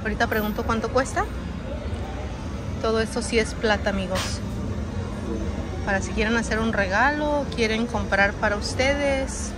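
A woman's voice: fairly high pitch, normal speed, long pauses, heavy background noise. A woman, filming a casual walking tour on her phone and chatting to her viewers as friends. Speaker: vlogger. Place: large outdoor swap meet. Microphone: phone camera held while walking. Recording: outdoor market with crowd and music. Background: crowd chatter and music at the market.

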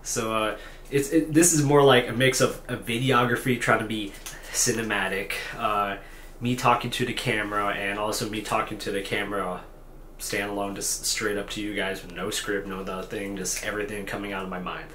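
A young man in his twenties talks off the cuff to a camera on his desk, tired and self-deprecating, stumbling over restarts and fillers. His voice sits a little low. The small hotel room gives it an echoey sound with faint ambience behind. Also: little pitch movement, normal speed, a bit bright, a little hiss or hum. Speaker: vlogger. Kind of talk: unscripted talking-head vlog. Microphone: camera at desk distance.

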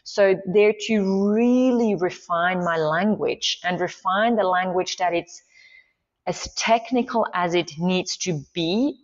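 Woman, middle-aged, enthusiastic, many fillers, lowish voice, dull call audio. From Switzerland. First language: Swiss German. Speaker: movement coach, podcast guest. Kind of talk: casual interview talk.

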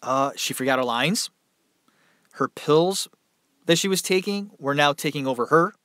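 A man chats through a long story for his podcast, rambling and loose, with plenty of filler words. His voice sits a little high and sounds dry and worn from talking. The sound is close and dead, with no room echo. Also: lively intonation, a little slow, pushed and bright. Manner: rambling, conversational, many fillers. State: dry mouth, tiring voice. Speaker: podcast host. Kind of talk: casual solo storytelling. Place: small room at home.

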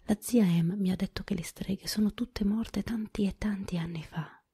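A woman's voice: medium pitch, moderate pace, dark tone, husky. A woman voicing an innocent, timid young girl in a whispered storybook reading.

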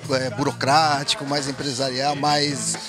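A man's voice: mid-range voice, little pitch movement, unhurried. A man hosting a music show, interviewing a band in a playful, teasing, theatrical way. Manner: jokey, teasing, theatrical outbursts. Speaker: show host. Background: band music in a rehearsal space.

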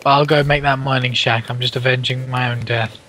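A man's voice: medium pitch, level tone, brisk, pushed and bright, a little hiss or hum. A man with an English accent chatting playfully while gaming, teasing his friend with sudden outbursts. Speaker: co-host playing a game. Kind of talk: casual gaming chat.

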